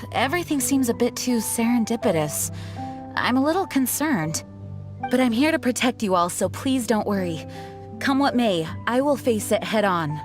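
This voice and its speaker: A woman's voice: on the high side, lively intonation, moderate pace, lightly acted. A woman voicing a dutiful, protective young female knight in a video game, composed, earnest and slightly formal. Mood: composed, concerned. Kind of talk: video game character acting. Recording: music playing behind the voice.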